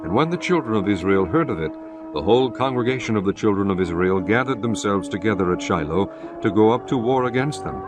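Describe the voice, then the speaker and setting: A man narrating scripture in a measured, solemn scripted reading. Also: on the low side, animated. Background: music underneath.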